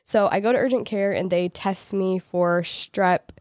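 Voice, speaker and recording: A woman's voice: medium pitch, measured pace, a little mellow, no echo, clean studio sound. A woman co-hosting a podcast, chatting casually and telling a story, sounding nasal from a cold. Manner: chatty storyteller, laughs often, teasing. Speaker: podcast co-host. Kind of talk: casual chat.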